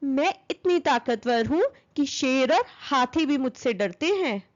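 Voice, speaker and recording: A woman voicing a boastful, pestering little female fly in a children's story, bragging and taunting, quick to anger. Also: high voice, animated, dull audio. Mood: smug, boastful.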